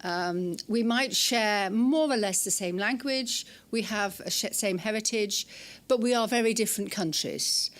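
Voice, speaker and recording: A woman's voice: medium pitch, measured pace. Older english woman lecturing, blunt and fired up, lots of ums, mic in a lecture room. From England. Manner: forthright, frequent ums and restarts. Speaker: guest lecturer. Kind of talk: academic lecture. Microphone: hand mic.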